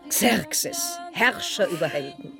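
An actress playing a stern, proud royal mother in a radio play, counseling in a measured, instructive way. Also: lowish voice, lively intonation, gravelly, over music, full character voice. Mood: proud, admonishing. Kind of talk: audio drama acting.

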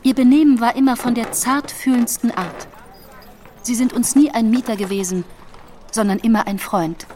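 An actress playing the genteel, devoted wife of a bankrupt gentleman in a radio drama, formal, dignified and sentimental. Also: a little high, moderate pace, a little hiss or hum, natural voice. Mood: tender farewell.

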